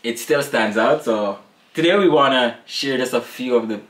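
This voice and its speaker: A Jamaican man in his thirties co-hosting a casual family vlog chat, animated and slangy, laughing often. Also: mid-range voice, normal speed. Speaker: family vlogger, co-host. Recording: echoey room with faint noise.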